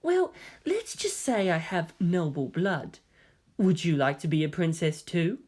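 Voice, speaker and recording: A woman voicing a coy, androgynous hybrid youth with genteel, gently teasing politeness and quiet menace. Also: fairly low pitch, very animated, measured pace. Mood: gentle, gracious.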